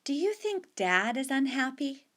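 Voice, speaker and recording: An earnest young boy with a raised, lighter voice, asking one careful question after another, as a woman reads him. He speaks slowly and keeps pressing for an answer. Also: sits high, sing-song, fairly bright tone, voice pushed up, full character voice. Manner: persistent, questioning.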